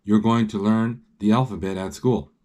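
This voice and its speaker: A man voicing a loving, encouraging father rabbit in a storybook, gentle and reassuring. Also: lowish voice, steady tone, moderate pace, natural voice.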